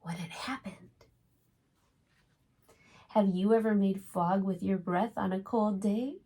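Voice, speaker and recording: Unhurried, slightly husky woman reading to children. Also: mid-range voice. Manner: expressive, asks the child listeners questions. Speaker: preschool teacher and storytime host. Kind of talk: read-aloud storytelling for young children.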